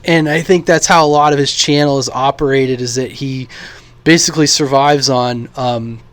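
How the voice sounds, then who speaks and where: A man from the United States talking casually and unscripted as a radio hobby vlogger, halting and thinking aloud. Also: medium pitch, steady tone, clean tone, no echo. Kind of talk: casual unscripted vlog talk.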